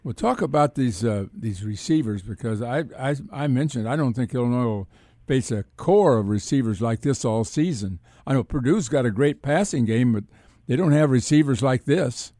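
Male sports talk host, mellow timbre, dry close sound, halting conversational questioning. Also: mid-range voice, expressive, dry recording. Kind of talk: casual interview questioning.

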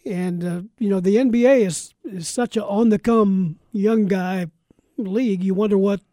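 A man's voice: sits high, fairly even intonation, moderate pace, warm tone, dry recording, studio quality. An American man hosting a live sports talk show on radio in a conversational style. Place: radio studio.

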